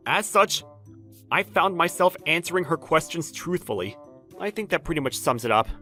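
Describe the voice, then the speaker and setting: A man's voice: on the high side, expressive, moderate pace, pushed and bright. A man playing a flustered, jilted bachelor who narrates his awkward romance with dramatic, exasperated inner monologue in a manga dub. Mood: wistful narration. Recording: background music under the voice.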